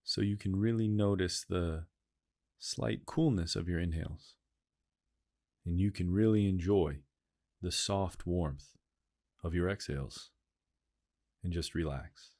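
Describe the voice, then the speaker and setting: A man leading a guided meditation, speaking in slow, soothing phrases and repeating gentle cues. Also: low-pitched.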